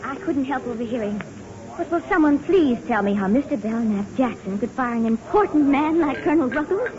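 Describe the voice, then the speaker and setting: A woman's voice: sits high, expressive, fairly quick, crowd noise. An actress playing a friendly, plainspoken small-town Western woman, chatty and direct, in 1930s Hollywood film style. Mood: curious. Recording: muffled old film, crowded room.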